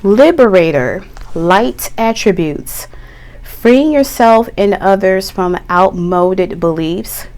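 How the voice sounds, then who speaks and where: A middle-aged woman giving a tarot reading in a chatty, slangy way, a little hoarse from a cough. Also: medium pitch. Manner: slow, relaxed, chatty with slang. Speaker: tarot reader. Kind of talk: pick-a-card tarot reading.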